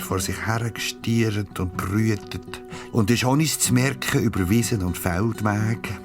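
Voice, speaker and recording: Low-voiced Swiss storyteller over guitar music. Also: male voice. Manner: storytelling with dramatic pauses. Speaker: narrator. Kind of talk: scripted children's story narration. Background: plucked guitar music.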